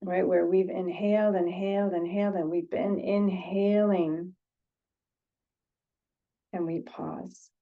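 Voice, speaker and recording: Female meditation teacher, very unhurried, gentle and pausing, on slightly muffled lo-fi audio. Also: lowish voice, flat delivery. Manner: gentle, pausing, repeats phrases, trailing off. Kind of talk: guided meditation, unscripted.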